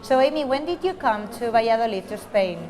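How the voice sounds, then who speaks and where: A woman reporter interviewing people on location, asking friendly, brisk questions. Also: a little high, expressive, darkish tone, soft background music, low-quality recording.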